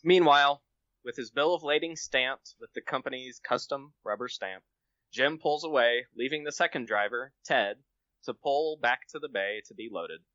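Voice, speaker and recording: A man reads his own comic story aloud on a podcast. His voice is bright and sharp, recorded very dry and close, with a slightly lo-fi, dulled edge. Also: medium pitch, moderate pace, no echo. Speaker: podcast guest, amateur writer.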